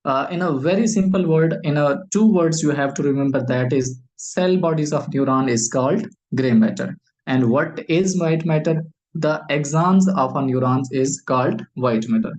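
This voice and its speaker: A Pakistani man, a native Urdu speaker, giving a medical lecture in English, pausing often on fillers, repeating phrases and posing questions he then answers. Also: on the high side, a little mellow.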